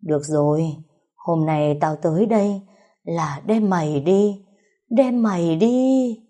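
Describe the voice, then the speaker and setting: A woman voicing a vengeful female ghost who speaks slowly and menacingly, in a dark husky voice. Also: on the low side. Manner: slow, drawn-out menacing.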